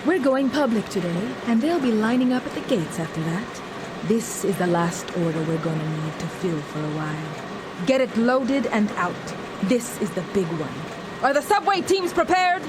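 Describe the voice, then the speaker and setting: A commanding villain mistress acted by a woman for a video game. She is brisk and authoritative, with a lively, medium-pitched voice over clear background noise. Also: lightly acted. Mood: confident, commanding.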